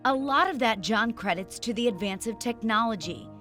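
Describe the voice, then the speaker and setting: A woman's voice: fairly high pitch, expressive, moderate pace, bright, forceful tone, soft background music. A woman working as a TV news reporter, reading a scripted feature narration.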